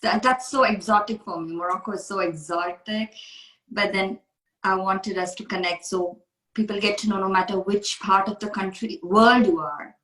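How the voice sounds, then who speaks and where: A woman's voice: mid-range voice, steady tone, normal speed, fairly bright tone, reverberant, rough recording. A woman from India hosting a casual interview, speaking earnestly and asking questions. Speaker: coach, interview host.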